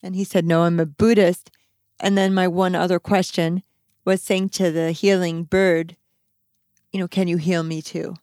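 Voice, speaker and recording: A woman's voice: on the low side, level tone, normal speed, a little mellow, no room sound, studio quality. A woman hosting a podcast in casual conversation, affirming her guest and laughing often. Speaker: podcast host.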